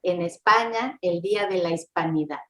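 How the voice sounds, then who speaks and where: A woman's voice: lowish voice, moderate pace. A woman hosting an interview, asking long, carefully framed questions in a conversational way. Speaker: interview host, linguist. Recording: roomy echo.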